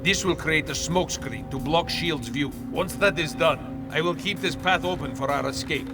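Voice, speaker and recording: Man voicing a proud game hero, fairly high voice, stern, loud music behind. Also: normal speed, close to an everyday voice. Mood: purposeful. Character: stern, proud Russian male strongman hero. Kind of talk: game cutscene acting.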